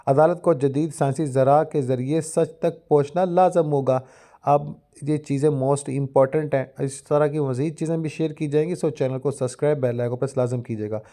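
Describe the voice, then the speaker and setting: A man's voice: fairly high pitch, steady tone, normal speed, mellow. A man with a Pakistani accent explaining material like a lecturer, in formal phrasing sprinkled with English terms. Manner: formal Urdu with frequent English legal terms. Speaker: law education YouTuber. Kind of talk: explanatory lecture mixed with reading out a judgment.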